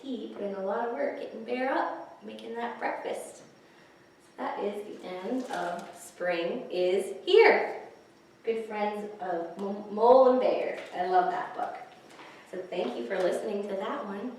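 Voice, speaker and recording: A woman reading picture books aloud to young children, pausing to explain the pictures and ask questions. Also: mid-range voice, darkish tone. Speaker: children's librarian, story time host. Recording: echoey, distant microphone, faint hiss.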